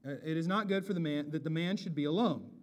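An American man from the Pacific Northwest preaching a sermon, loud and animated, dropping into quiet asides before rising to booming emphasis. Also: quick pace. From Bellingham, Washington, USA. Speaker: pastor. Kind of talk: expository sermon.

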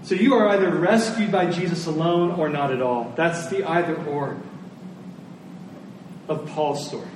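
High-voiced male preacher in a roomy space. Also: moderate pace. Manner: earnest, emphatic, repeats key phrases. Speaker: pastor preaching to his church. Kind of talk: sermon. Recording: roomy, distant mic, faint noise.

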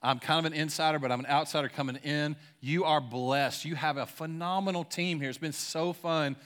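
A young man preaching a sermon in a church, casual and excited, using slangy talk and leading a prayer. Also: a little high, fairly quick, clean tone. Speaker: pastor. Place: church sanctuary.

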